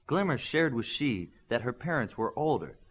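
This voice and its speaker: A man's voice: mid-range voice, very animated, moderate pace, slightly rough. A man reading a scripted fiction story as an audiobook narrator.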